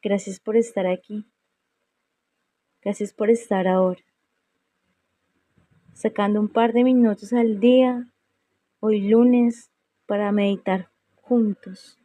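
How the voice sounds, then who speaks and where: A woman leading a guided meditation in a serene, unhurried way, leaving long pauses between phrases. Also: mid-range voice, fairly even intonation, mellow, no room sound. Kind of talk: slow guided meditation narration.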